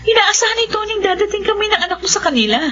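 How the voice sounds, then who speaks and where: A woman's voice: very high-pitched, expressive, very fast, lo-fi sound, noisy background. A woman with a Filipino accent playing a stubborn, devout wife and mother, speaking emphatically in radio drama. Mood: insistent, defiant. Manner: emphatic, insistent.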